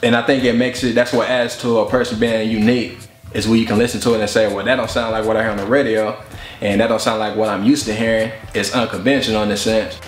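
A man's voice: lowish voice, level tone, fast. A man in his twenties, a rapper talking casually and reflectively in an interview. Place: living room couch indoors. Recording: slightly roomy indoor sound, faint music behind.

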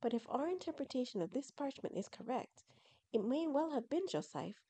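A woman's voice: sits high, sing-song, moderate pace, mellow, pitched above the performer's own voice. A woman voicing an anxious, scholarly mother creature in an audio story, earnest and emotional. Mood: thoughtful.

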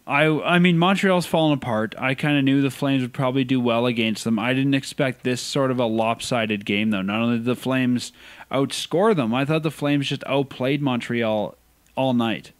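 A Canadian man co-hosting a podcast, chatting casually and reacting quickly to his co-host. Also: medium pitch, no room sound. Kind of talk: casual sports chat.

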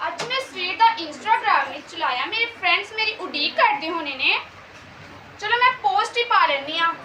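A woman's voice: extremely high pitch, animated, normal speed, pushed and bright, heavily acted. A young girl from Punjab acting a phone-obsessed daughter in a stage play, declaiming theatrically. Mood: whiny. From Punjab, India. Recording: echoey stage, distant mic, faint noise.

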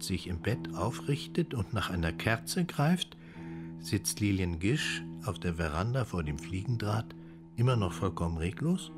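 A man reading scripted radio narration that describes a film scene as a narrator. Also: lowish voice. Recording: music behind the voice. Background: music.

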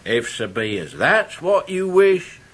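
Older seafarer, husky, highish voice, swooping intonation, kindly, hearty, radio drama. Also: male voice, very animated, slow, a bit bright, heavily acted. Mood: tender, yielding. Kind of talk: 1990s radio drama acting.

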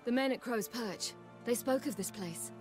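A woman's voice: on the high side, moderate pace, lightly acted. A woman playing a determined young warrior in a fantasy game, with a British accent and earnest, formal phrasing. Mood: reflective. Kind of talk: cinematic fantasy game acting. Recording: music behind the voice. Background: game music.

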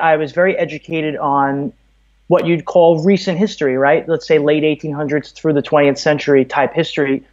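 A man's voice: on the high side, highs cut off. A man from Brooklyn, telling stories conversationally as a podcast guest. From Brooklyn, New York, USA. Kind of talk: conversational interview, storytelling.

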